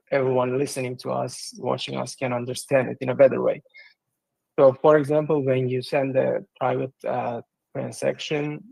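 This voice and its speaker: A man from Turkey answering interview questions in a live audio session. He pauses often on ums and uhs as he explains. His voice has a gravelly, fry-tinged texture, heard dry and close with no room sound. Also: medium pitch, darkish tone, no room sound, low-quality recording. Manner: hesitant, many ums and uhs. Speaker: guest, project business development director. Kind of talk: casual livestream interview.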